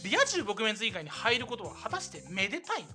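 A young man co-hosting a casual comedic radio chat, throwing in blunt joking retorts in rough, informal speech. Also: huge pitch swings, fast. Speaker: radio show co-host. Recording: low-quality webcast with faint music.

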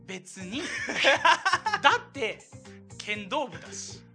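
A young man co-hosting a casual comedic radio chat, throwing in blunt joking retorts in rough, informal speech. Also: sing-song, extremely slow, bright, forceful tone. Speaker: radio show co-host. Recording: low-quality webcast with faint music.

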